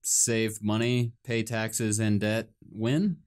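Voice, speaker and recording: Low-voiced guy on a podcast, short dry answers. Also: fairly even intonation, slow, a little mellow, clear, resonant tone. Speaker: podcast co-host. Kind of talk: casual podcast chat.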